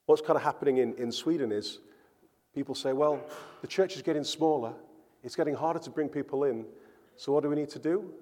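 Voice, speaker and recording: A man's voice: a little high, warm tone. A man preaching a sermon as a pastor, earnest and emphatic, often repeating words for stress. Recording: church hall with long reverb.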